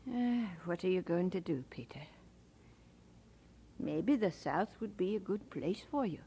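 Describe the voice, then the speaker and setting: An actress playing a brisk, blunt but caring middle-aged aunt in an old radio drama. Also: female voice, fairly low pitch, lively intonation, warm tone, a little hiss or hum. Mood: concerned, kindly. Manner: blunt, chiding, practical.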